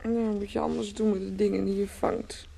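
An actress playing a groggy, hungover, querulous woman in a Dutch radio play, with a husky, creaky voice and weary, complaining manner. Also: medium pitch, little pitch movement, normal speed, dark tone. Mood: sullen.

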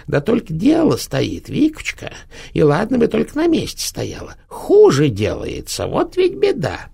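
A rotting old witch grandmother, as a male narrator puts her on, her voice rattling like old tin and thick with gravel. She sneers and scolds, her high voice swinging wildly up and down. It comes out husky and hoarse, spiteful from the grave. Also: wildly swooping pitch, unhurried, warm tone, full character voice. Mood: mocking. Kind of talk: audiobook narration.

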